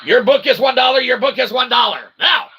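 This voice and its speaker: A man's voice: very high voice, a little fast, creaky, dull audio, slight background noise. A man hosting a livestream in a rambling monologue, wordy and mock-formal, repeating himself emphatically with tag questions. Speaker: livestream host and self-published author.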